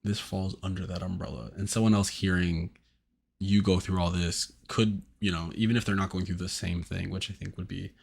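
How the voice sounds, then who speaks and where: A man's voice: low-pitched, fairly even intonation, fairly quick, vocal fry, breathy edge. A young man hosting a casual advice podcast, chatty and teasing, with lots of fillers. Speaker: podcast host.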